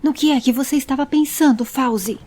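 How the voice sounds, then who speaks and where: A hot-tempered male traveller in an audio story played by a woman. He speaks quickly and emphatically, scolding with angry exasperation yet protective underneath. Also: high-pitched, a little mellow, voice pushed up. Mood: exasperated.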